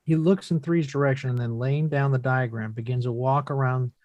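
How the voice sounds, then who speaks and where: A man's voice: medium pitch, fairly even intonation, a little fast, darkish tone, no room sound, dull audio. A man reading scripted stage directions at a play table read, matter-of-fact and descriptive.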